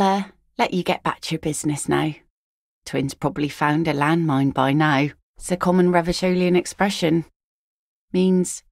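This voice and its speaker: A woman voicing an easygoing, philosophical seafaring mother in a video game, with a wry, gently teasing, folksy manner. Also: fairly low pitch, vocal fry, no echo, studio quality. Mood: dry, friendly. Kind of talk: naturalistic video game dialogue acting.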